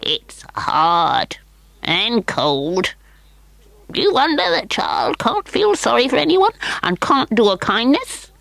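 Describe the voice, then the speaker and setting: A woman voicing a small, bent, ancient woman of the woods in a storybook reading, slow and knowing. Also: fairly high pitch, sing-song, vocal fry, fairly bright tone, dry recording, pitched above the performer's own voice, full character voice. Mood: solemn.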